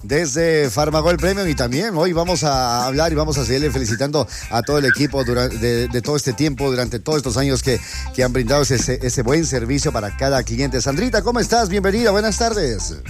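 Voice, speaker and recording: A man's voice: medium pitch, lively intonation, a little fast, soft background music. A man hosting a radio show, effusive and sing-song, with frequent fillers and restarts. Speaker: radio host.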